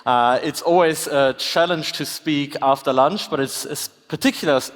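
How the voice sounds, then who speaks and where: Male academic speaker, 30s, German accent with British touches, measured delivery, reverberant hall. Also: mid-range voice, fairly bright tone. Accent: German with a slight British tinge. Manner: measured, articulate, with frequent "uh" fillers. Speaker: conference speaker. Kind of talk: academic conference lecture. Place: conference hall.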